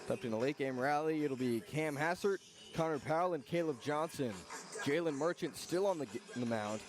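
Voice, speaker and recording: A man's voice: fairly high pitch, brisk. A man doing live radio play-by-play commentary of a baseball game outdoors.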